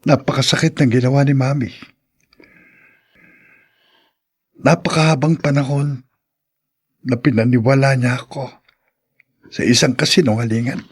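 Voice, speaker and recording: An actor playing a sentimental young son in a Filipino radio drama, with drawn-out, emotional delivery. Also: male voice, mid-range voice, fairly even intonation, slightly rough, lightly acted. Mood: hurt, resolute. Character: sentimental young son longing for his father.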